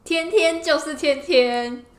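A woman's voice: high voice, takes it slowly. Gushing Taiwanese woman in a roomy space. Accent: Taiwanese Mandarin. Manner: gushing fangirl enthusiasm. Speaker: podcast co-host. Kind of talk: casual enthusiastic chat. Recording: roomy indoor echo.

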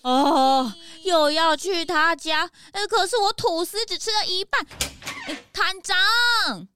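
A woman's voice: extremely high pitch, slow, noisy background. A woman voicing a cheeky, bouncy young detective's assistant in a children's audio drama, with a childlike, whiny edge. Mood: grumbling.

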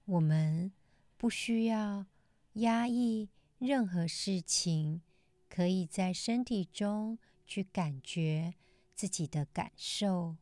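A woman with a dark, mellow voice hosts a meditation podcast. She speaks very slowly and gently, pausing often between short phrases. The sound is close and dry, suited to calm mindfulness teaching. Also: lowish voice, no room sound. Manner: measured, gentle phrasing with frequent pauses. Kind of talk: calm scripted mindfulness talk.

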